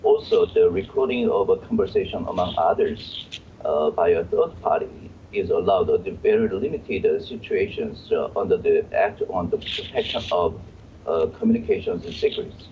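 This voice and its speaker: On slightly noisy, dull audio, a man with a Korean accent and a very low voice speaks as an expert guest. His delivery is halting and hesitant as he searches for words. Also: very animated. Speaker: law professor, guest expert. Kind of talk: live expert interview.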